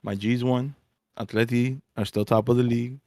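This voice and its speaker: A man's voice: mid-range voice, steady tone, moderate pace, darkish tone, no room sound, studio quality. An American man in casual sports banter, talking in slang and needling his friends. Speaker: sports show co-host. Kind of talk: casual fan banter about soccer.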